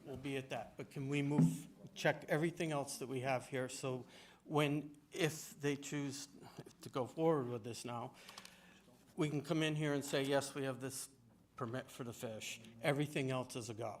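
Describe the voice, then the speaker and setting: Insistent, halting man at a meeting. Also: medium pitch, steady tone. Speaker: permit applicant at a public meeting. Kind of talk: informal pleading at a meeting. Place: indoor municipal meeting room. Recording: noisy town meeting room.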